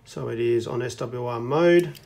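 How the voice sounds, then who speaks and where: A man's voice: mid-range voice, a little slow, gravelly, darkish tone. A man giving a casual hands-on demonstration as a hobbyist reviewer. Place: indoors at a wooden tabletop.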